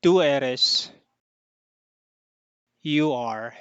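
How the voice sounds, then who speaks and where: A Spanish teacher's voice opening a lesson and reciting verb forms in a slow, measured way. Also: medium pitch, clean tone, dry recording. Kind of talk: lesson introduction and conjugation drill. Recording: slightly dull lo-fi audio.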